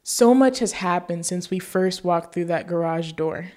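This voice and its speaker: A woman hosting a show, reading scripted narration and appeals to viewers in an earnest, deliberate way. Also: lowish voice, darkish tone. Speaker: show host.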